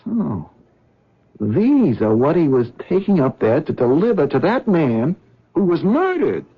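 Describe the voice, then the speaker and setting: Husky worried cabbie husband. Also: male voice, a little high, wildly swooping pitch, measured pace, full character voice. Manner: worried, stammering, earnest. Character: anxious husband, a cab driver. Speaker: actor in a radio drama. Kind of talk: 1970s radio drama acting. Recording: dull lo-fi old radio broadcast.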